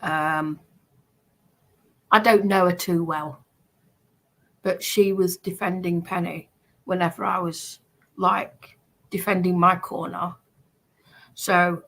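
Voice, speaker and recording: A woman giving casual commentary, speaking hesitantly with pauses between phrases. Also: fairly low pitch, fairly even intonation, a bit bright, poor audio. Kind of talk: casual commentary on played clips.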